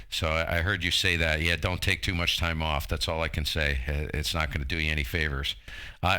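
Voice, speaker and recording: A man in his fifties chatting casually over amateur radio, telling stories in an animated, informal way. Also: low voice, clear, resonant tone. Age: 50s.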